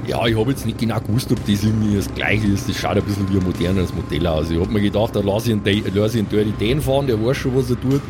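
A man's voice: fairly low pitch, racing, few pauses, noisy background, close to an everyday voice. A man playing an easygoing, self-satisfied rural drinking buddy with a Bavarian accent in an improvised audio drama. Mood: self-satisfied, teasing. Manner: colloquial, self-satisfied, teasing. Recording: music bed behind the voice.

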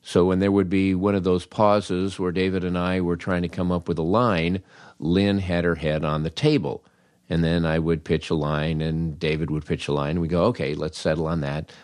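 An older American man with a low voice tells funny first-person stories in a dry, close recording. His delivery is wry and animated, with dramatic pauses and little bits of acted-out dialogue. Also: no room sound. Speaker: podcast host, comedy writer. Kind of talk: humorous first-person storytelling monologue.

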